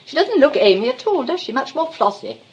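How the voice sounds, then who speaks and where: Theatrical, swooping English grande dame. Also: female voice, sits high, very animated, a little fast, lo-fi sound. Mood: airy, vague. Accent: British English. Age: middle-aged. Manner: grandly dramatic, gushing, airy. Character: theatrical, vain, vague middle-aged actress mother. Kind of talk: 1920s drawing-room comedy acting.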